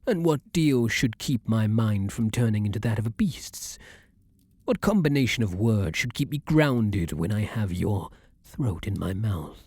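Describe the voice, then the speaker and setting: A man's voice: lowish voice, lively intonation, unhurried, a little mellow, slightly rough, dry recording, exaggerated voice. A man playing a vampire king, an ardent, protective lover with courtly, formal phrasing, in an intimate ASMR roleplay. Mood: anxious, reproachful.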